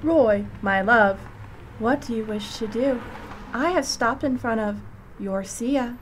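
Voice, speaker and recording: A woman voicing a devoted, obliging spaceship computer with a measured, doting manner in a comic radio play. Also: a little high, a little hiss or hum, poor audio.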